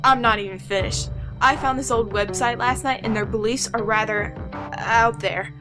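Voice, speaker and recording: A woman's voice: a little high, fairly even intonation, moderate pace, over music, close to an everyday voice. A woman playing a brainy, exasperated young boy inventor in a fan audio drama, explaining things in a precise, know-it-all way. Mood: earnest explaining.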